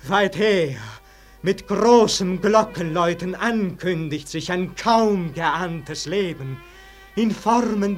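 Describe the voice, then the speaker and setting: Melancholy weary scholar, old-school german radio play, really slow lyrical verse, music behind him. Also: male voice, high-pitched. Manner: solemn, lyrical declamation swinging between hushed murmur and fervent swell. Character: melancholy world-weary scholar, rapt by music, longing for lost life. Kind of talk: classic German radio drama, poetic verse monologue.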